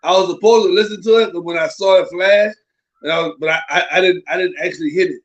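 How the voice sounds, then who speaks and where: A man's voice: high voice, fast talker, muffled recording. A man co-hosting a podcast with a stuffed-up nose from a cold, talking casually and animatedly, often repeating himself. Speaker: podcast co-host. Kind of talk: casual chat.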